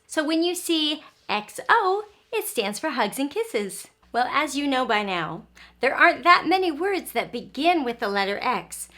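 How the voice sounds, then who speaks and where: A woman with a high voice reads a picture book aloud to small children. She takes her time and keeps her words simple and expressive, putting questions to the listener as a teacher would. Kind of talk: interactive storybook read-aloud for young children.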